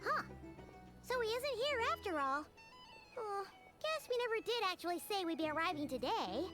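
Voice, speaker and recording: Childlike floating game sidekick: squeaky, chirpy, chatty, very high and lively, music underneath. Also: female voice, moderate pace. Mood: curious. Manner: refers to herself in third person, chirpy. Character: small floating fairy companion, childlike, chatty and excitable. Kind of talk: anime game voice acting.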